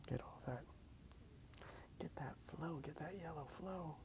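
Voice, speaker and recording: A man speaking in a soft, hushed ASMR style, musing slowly and repeating his phrases as he narrates his drawing. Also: on the high side, creaky, background noise. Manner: hushed, unhurried musing, repeats phrases, asks listener questions. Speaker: host of an ASMR drawing video. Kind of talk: soft-spoken ASMR rambling while drawing. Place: outdoors in a park.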